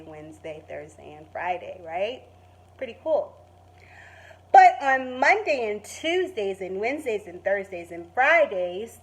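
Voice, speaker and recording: A woman teacher reading a children's story expressively, stretching words for emphasis and pausing to put questions to the listeners. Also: medium pitch, poor audio. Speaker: primary school teacher reading to pupils. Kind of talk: expressive children's story read-aloud with asides.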